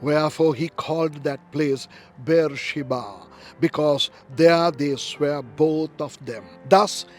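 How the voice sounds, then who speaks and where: A man, a pastor, reading scripture aloud as a solemn narration with measured, deliberate phrasing and dramatic emphasis. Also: a little high, steady tone, darkish tone. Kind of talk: solemn scripted Bible reading in archaic English. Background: background music.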